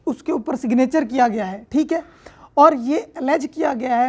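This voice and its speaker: Pakistani lady teaching law, really high voice, explains stuff step by step and repeats it. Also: darkish tone. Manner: didactic, repeats points and checks understanding. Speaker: law lecturer. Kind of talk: explanatory lecture mixing read-out statute with commentary.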